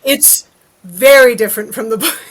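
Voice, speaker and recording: A woman's voice: a little high, moderate pace, crowd noise. A woman chatting casually as a guest author on a podcast. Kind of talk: casual chat.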